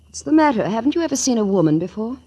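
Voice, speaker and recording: A woman playing a bold, cool, flirtatious femme fatale in 1940s radio style, husky, arch and self-possessed. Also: on the high side, big pitch swings, very fast, warm tone, lo-fi sound. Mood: seductive.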